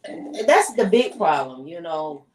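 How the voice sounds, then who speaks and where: A woman with a loud, strong voice, chatting bluntly and emphatically as a podcast co-host. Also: low-pitched, very animated, measured pace. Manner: blunt, emphatic, repeats herself. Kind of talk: casual chat. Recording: echoey room, far from mic.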